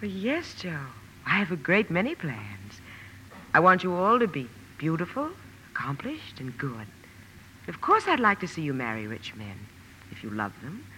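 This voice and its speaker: A woman's voice: low-pitched, wildly swooping pitch, moderate pace, bright, forceful tone, slight background noise, heavily acted, rough recording. An actress playing a loving, wise middle-aged mother, speaking tenderly and earnestly in old-time radio drama style. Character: gentle, wise, loving mother of grown daughters. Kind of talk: 1940s-50s radio drama acting. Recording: vintage radio broadcast recording.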